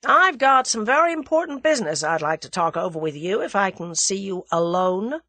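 Lady doing a cocky american rich guy, drawly and slangy, blunt, threatening, bouncy pitch, audiobook. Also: animated, moderate pace, bright, forceful tone, dry recording. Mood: brash, blunt. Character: brash, menacing young American millionaire man.

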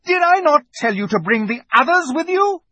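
A cruel witch queen from a storybook given voice by a man speaks in a high, swooping voice. She is imperious and menacing, commanding with terrible authority. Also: wildly swooping pitch, very slow, warm tone, no echo, full character voice. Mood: furious. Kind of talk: audiobook character voice.

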